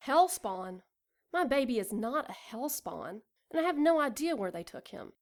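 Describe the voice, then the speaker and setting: A woman's voice: on the high side, sing-song, normal speed. A woman playing a frightened but defiant pregnant young woman in an audio drama, emotional, naive and protective. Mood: protective panic.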